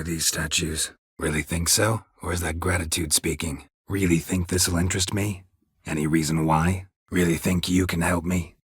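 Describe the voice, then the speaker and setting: A gruff, stoic monster hunter from a video game. His low voice is extremely husky and rasping, recorded very dry and close. He speaks in clipped, deadpan phrases with a weary, dry edge. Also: no room sound. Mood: skeptical, deadpan. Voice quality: very husky, gravelly rasp. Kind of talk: video game character dialogue.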